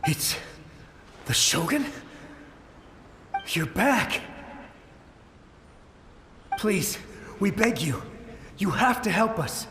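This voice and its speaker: A man's voice: sits high, big pitch swings, drawn-out words, pauses often, a bit bright, roomy sound, long reverb tail, slight background noise, full character voice. A man voicing a desperate, fearful soldier in a video game, speaking in a frantic, pleading rush.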